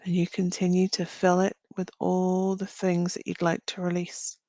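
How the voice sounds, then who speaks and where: A woman leading a guided visualisation as a meditation guide, speaking gently in short phrases with long pauses. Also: on the low side, completely flat delivery, dry recording. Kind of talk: guided visualisation, slow soothing narration.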